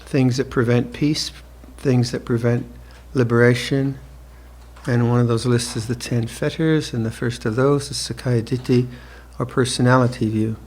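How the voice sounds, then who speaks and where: A monk in his seventies gives an evening dharma talk, speaking slowly and reflectively with gentle restarts and soft laughter. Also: medium pitch. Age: 70s. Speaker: monk teacher. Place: retreat hall with listeners.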